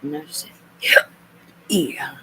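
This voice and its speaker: Gravelly woman rambling on a noisy livestream. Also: medium pitch, sing-song, measured pace, bright, forceful tone. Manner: rambling, talks in asides to self and viewers. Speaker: live streamer. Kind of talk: rambling livestream talk and reading aloud.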